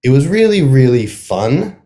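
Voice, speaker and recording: A man's voice: medium pitch, big pitch swings, measured pace, mellow. A middle-aged man speaking as a guest in a casual interview, searching for his words with self-deprecating humor. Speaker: guest, playwright.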